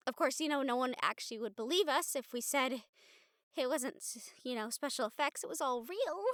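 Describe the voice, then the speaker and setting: Woman acting as sweet college girl medium, high-pitched, chatty and earnest, halting delivery, audio roleplay, very dry sound. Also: a bit bright, no room sound. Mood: excited, chatty. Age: young adult. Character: excitable, sweet young college girl, a medium befriending a ghost. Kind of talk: intimate audio roleplay addressed to the listener.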